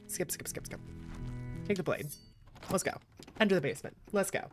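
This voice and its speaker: A woman's voice: on the low side, expressive, normal speed. A woman doing casual live game commentary, thinking aloud in a rambling way and repeating her phrases. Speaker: streamer playing a visual novel. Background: game music.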